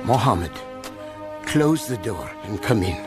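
A man playing a dignified, loyal old Arabian clan elder in an animated film, grave and measured. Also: lowish voice, over loud music. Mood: troubled, pleading.

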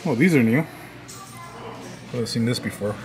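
A man's voice: medium pitch, expressive, unhurried. A man in his thirties with a Cuban accent, chatting casually and joking as he browses a toy store while filming with a handheld camera. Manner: laid-back, joking, mumbles asides. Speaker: toy-collecting vlogger. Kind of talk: casual vlog chat. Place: large toy store, indoors. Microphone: handheld camera held close. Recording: busy store chatter behind.

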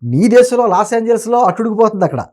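A man's voice: high voice, lively intonation, fast talker, a little mellow. A man giving opinionated political commentary as an interview guest, emphatic and argumentative, often posing rhetorical questions.

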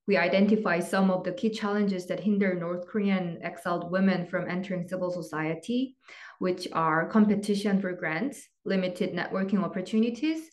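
A woman moderating an online event, reading measured, formal opening remarks over slides. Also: fairly low pitch, a little mellow.

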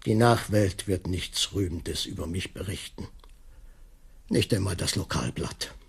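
An actor playing a pompous, timid master baker in a radio play, speaking rhetorically with a husky, creaky voice. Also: male voice, deep voice, unhurried, exaggerated voice. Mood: brooding, self-pitying. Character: brooding, grandiloquent master baker, timid and anxious. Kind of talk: 1980s German radio play acting.

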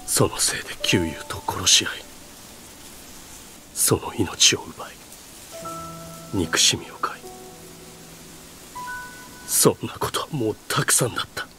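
An actor playing a weary, guilt-ridden lord in a video game, speaking gravely and slowly, often sinking toward a whisper. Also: male voice, lowish voice, animated, sharp tone, soft background music, exaggerated voice. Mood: anguished, weary. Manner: slow, grave, half-whispered confession. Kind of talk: Japanese video game voice acting.

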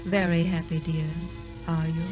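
A woman's voice: low-pitched, little pitch movement, moderate pace, lightly acted. An actress playing an anxious, kindly married woman in an old radio drama. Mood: sad, gentle. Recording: dull old radio recording, faint music.

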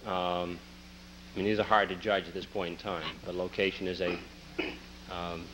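A man giving measured, hesitant advice to a town council, with many fillers. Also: low voice, level tone, bright, forceful tone, slight background noise, low-quality recording. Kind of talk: formal advice at council meeting.

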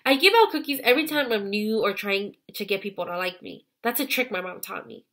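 A woman's voice: medium pitch, animated, a little fast, a bit bright. A woman voicing a friendly, eager young schoolboy in a children's storybook, earnest and enthusiastic.

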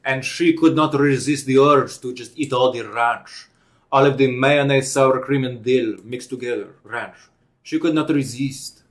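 A young man hosting a casual reaction video from home, speaking with sarcastic, deadpan mock-formal delivery into a headset mic. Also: mid-range voice, a little slow. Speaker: host. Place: home room with bookshelves.

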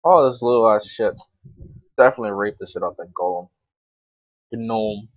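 A young man with a fairly low voice talks casually over his gameplay. He is informal and grumbling, with plenty of swearing. The sound is dry and close, with a dull, lo-fi microphone. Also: moderate pace, no echo. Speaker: gaming video creator.

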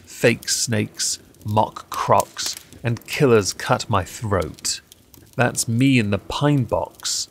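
Male poetry reader, lowish voice, very unhurried and theatrical delivery, noticeable background noise. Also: vocal fry. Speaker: poetry reader and channel host. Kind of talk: measured dramatic poetry reading.

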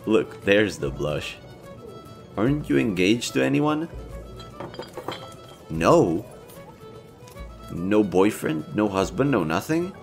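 Male actor as young elf leader, low voice, playful, earnest, teasing, romantic audio drama, faint background music. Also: slow, creaky, long pauses, full character voice. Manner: casual, teasing, expressive. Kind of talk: intimate romantic roleplay acting, conversational.

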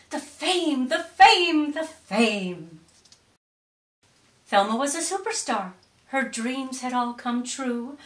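Animated woman reading a storybook. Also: high-pitched. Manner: deliberate, theatrical emphasis. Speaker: storytime reader. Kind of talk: expressive children's storybook reading. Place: indoors, curtained room or small stage. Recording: roomy echo on voice.

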